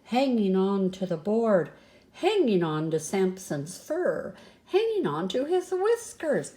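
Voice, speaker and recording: Older woman reading a storybook, slow and mellow. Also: medium pitch, big pitch swings. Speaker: storybook reader. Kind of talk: read-aloud children's story with asides.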